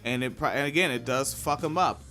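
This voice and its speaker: A young man hosting a podcast, chatting casually with a profane, squealy, animated way of talking. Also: medium pitch, a little fast, soft background music. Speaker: podcast host. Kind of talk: casual chat.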